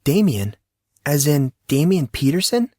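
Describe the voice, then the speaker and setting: Guy reading as a soft concerned teen girl, pitched up a bit, dry close sound, audiobook. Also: big pitch swings, a little slow, no room sound. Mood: baffled. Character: gentle, puzzled teenage schoolgirl.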